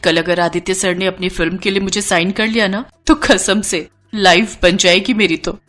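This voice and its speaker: A woman's voice: medium pitch, fairly even intonation, moderate pace, a bit bright, faint music behind, close to an everyday voice. A woman voicing an anxious, ambitious young model in a cartoon story, dramatic and easily flustered. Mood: anxious, hopeful.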